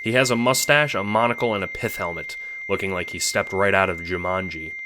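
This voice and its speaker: A man's voice: low voice, brisk, noisy background. A man narrating a scripted story in the second person, in the style of an audiobook.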